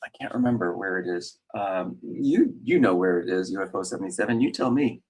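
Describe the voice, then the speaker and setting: A man's voice: deep voice, lively intonation, brisk, a little mellow, slightly rough. A middle-aged man from Australia, hosting a casual live chat and answering viewer comments with many fillers and restarts. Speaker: host.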